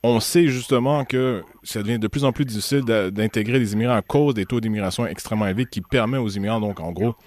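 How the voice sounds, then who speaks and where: A man from Montreal with a Quebec French accent, hosting a radio interview in a halting, conversational way, often rephrasing. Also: fairly low pitch, darkish tone, slight background noise. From Montreal, Canada.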